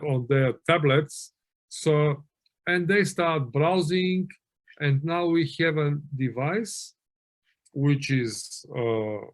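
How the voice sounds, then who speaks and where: A middle-aged man from Bulgaria giving a webinar lecture, telling anecdotes in a conversational way with many fillers and restarts. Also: mid-range voice. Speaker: webinar presenter on auditing.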